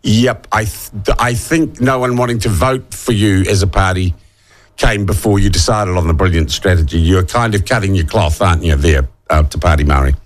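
A middle-aged man with a New Zealand accent, husky from a head cold, hosting a talk radio show in a sarcastic, opinionated monologue. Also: deep voice, normal speed. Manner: sarcastic, scornful asides.

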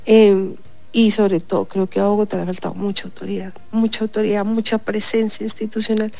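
A woman's voice: medium pitch, little pitch movement, brisk, vocal fry, dark tone, faint music behind. A woman, a city councillor, speaking emphatically in a radio interview with a congested, stuffy voice. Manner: emphatic, insistent, repeats points.

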